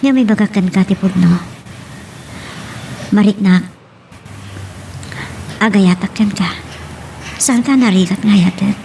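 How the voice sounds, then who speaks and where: A woman's voice: medium pitch, a little mellow, natural voice. A woman playing an earnest young female student who works in a bar, in an Ilocano drama. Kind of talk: Ilocano radio-style drama acting. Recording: clear background noise.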